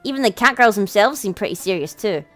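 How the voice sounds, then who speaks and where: A woman's voice: medium pitch, lively intonation, fast. A woman reading a visual novel aloud as a let's play host, with casual reactions of her own. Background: game music.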